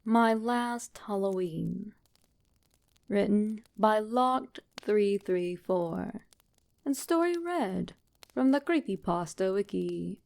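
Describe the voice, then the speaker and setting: A woman reading a scripted horror story as a narrator, in a measured, dramatic manner. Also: mid-range voice, expressive, creaky, darkish tone.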